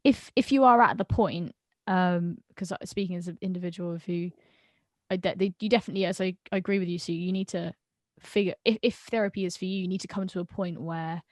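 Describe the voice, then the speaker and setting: Frank, animated woman from the UK. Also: lowish voice, quick pace, dry recording. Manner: frank and animated, stumbling over words. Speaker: guest friend. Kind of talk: casual video-call chat. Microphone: video call.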